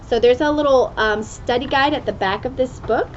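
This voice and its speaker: A woman with a fairly high voice reads a children's story aloud in an expressive, deliberate way, leaning on important words. The recording is a bit dull and lo-fi, with clear noise behind her.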